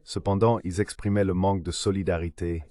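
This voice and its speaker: A synthetic male voice reading a translated interview as a measured voice-over. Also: sits low, fairly even intonation, warm tone. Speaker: AI dubbing voice for an interview guest.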